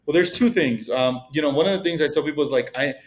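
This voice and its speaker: A man's voice: fairly high pitch, quick pace. A middle-aged man with a Mexican accent, a podcast guest talking casually and rambling, with many "you know" and "like" fillers. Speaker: podcast guest, real estate broker. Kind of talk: casual interview conversation.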